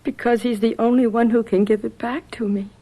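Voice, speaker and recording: High-voiced, wounded young daughter. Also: female voice, steady tone, fairly quick, dark tone. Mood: defiant, despairing. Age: young adult. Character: wounded, stubborn young college-age daughter in love. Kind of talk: radio drama acting.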